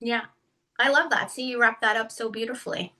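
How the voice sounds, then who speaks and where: Bright, eager woman hosting a podcast. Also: fairly high pitch, brisk, distant mic. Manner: enthusiastic, effusive. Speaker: podcast host. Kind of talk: upbeat interview hosting.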